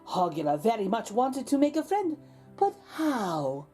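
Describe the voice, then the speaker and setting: A woman's voice: fairly high pitch, sing-song, measured pace, mellow, faint music behind. A woman narrating a children's picture book aloud as host, with theatrical, suspenseful emphasis. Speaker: storybook reader, host.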